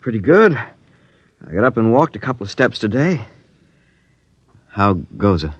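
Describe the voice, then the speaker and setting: A man's voice: lowish voice, a little slow, husky, dry recording, heavily acted. An actor playing an ordinary, earnest young crewman who is plainspoken and defensive, in old-time radio drama style. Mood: friendly, subdued. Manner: plainspoken, questioning, animated. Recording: dull vintage radio recording.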